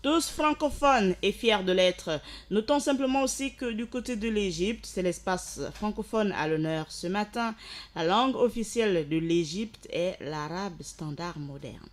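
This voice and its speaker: A woman hosting a radio show, explaining facts from her notes and sending loud greetings to listeners. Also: medium pitch, lively intonation, a little slow. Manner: explanatory, rises in energy for shout-outs. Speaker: radio host.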